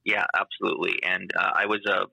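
A male teacher talking in a casual conversation, halting and full of fillers. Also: low voice, level tone, vocal fry, bright, forceful tone, slightly rough, no room sound, rough recording. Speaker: teacher and guest.

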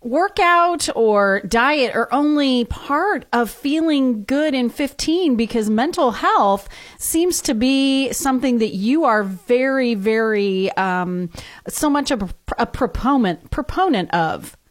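A woman hosts a morning radio interview in a studio, her voice a touch high and close with no room sound. She sounds friendly and chatty, sometimes stumbling into an um or restarting a thought. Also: expressive, slow, dry recording. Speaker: radio host.

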